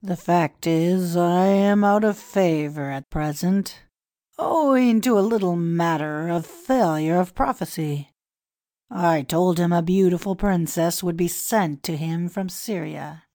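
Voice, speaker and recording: A pompous, scheming Egyptian priest who speaks slowly and with grand ceremony. A woman voices him in a lowish, unhurried voice. The recording is very dry and close. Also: dark tone, no echo. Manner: grand, confiding, measured. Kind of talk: audiobook dialogue reading.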